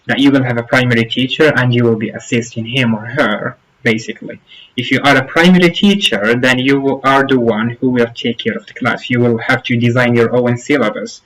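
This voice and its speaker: A young man whose first language is Arabic, hosting his own channel and giving application tips in an informal spoken tutorial. He sounds earnest and advisory, stresses points by repeating them, and speaks deliberately with restarts and fillers. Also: medium pitch, a bit bright, low-quality recording. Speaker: YouTube channel host giving application advice.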